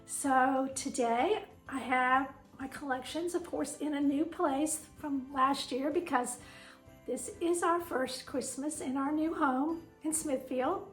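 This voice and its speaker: A middle-aged woman with a voice made raspy by a sinus cold, chatting fondly and rambling as she shows her collection in her home kitchen. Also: high-pitched, slow, a bit bright, faint music behind, rough recording. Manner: chatty, fond, meandering. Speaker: vlog host. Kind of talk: casual home tour chat.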